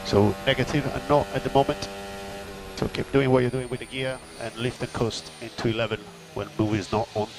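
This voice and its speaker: A man's voice: mid-range voice, fairly even intonation, a little fast. Man on noisy team radio, race engineer. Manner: matter-of-fact, terse. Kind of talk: clipped technical race radio updates. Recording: noisy radio link with mechanical hum.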